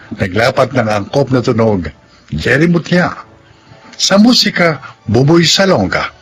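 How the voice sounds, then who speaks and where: A man announcing a radio drama in a measured, formal way. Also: medium pitch, a bit bright. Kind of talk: scripted announcing.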